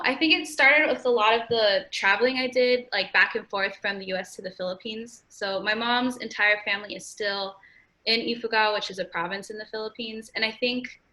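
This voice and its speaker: A woman's voice: on the high side, sharp tone. A woman in her twenties from California, a podcast guest in a casual interview, chatty and upbeat, often saying "like". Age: 20s. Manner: chatty, frequent "like" fillers, upbeat. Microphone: remote call.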